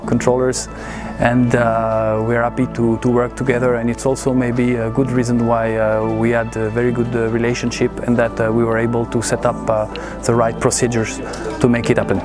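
A man answering interview questions in a hesitant, halting way, as an air traffic manager. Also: mid-range voice, monotone. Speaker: head of air traffic control. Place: busy air traffic control room. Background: background music.

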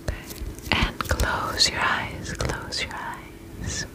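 Very slow whispering woman. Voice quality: breathy, unvoiced whisper. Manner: soothing, repeats short reassuring phrases. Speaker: ASMR roleplay host, spa attendant. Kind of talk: ASMR roleplay.

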